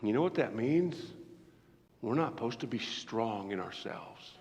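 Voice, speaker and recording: Animated fifty-something evangelist preaching. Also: male voice, medium pitch, unhurried. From Iowa, United States. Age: 50s. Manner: animated storytelling, swinging between loud outbursts and quiet asides. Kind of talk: sermon. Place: church sanctuary. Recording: echoey church hall, dull lo-fi live recording.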